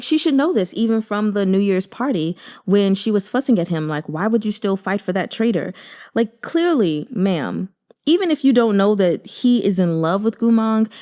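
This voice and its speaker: A woman's voice: medium pitch, mellow. A woman chatting casually as the host of a reading channel, conversational and emphatic, speaking her opinions plainly. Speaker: audiobook reader and channel host. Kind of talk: casual reaction chat and announcements.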